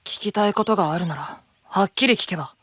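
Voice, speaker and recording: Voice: mid-range voice, fast, fairly bright tone, breathy edge, dry recording. An actor playing a guarded, mysterious youth in an anime-style voice drama, cool and curt. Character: guarded, aloof, mysterious youth, blunt and quiet.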